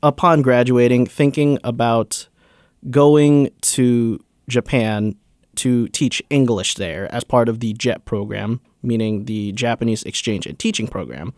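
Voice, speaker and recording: Young male student guest, mid-pitched, eager and filler-heavy, dry close sound. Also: unhurried, a little mellow, dry recording. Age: young adult. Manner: earnest, filler-heavy with many likes and uhs. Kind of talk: casual interview answers.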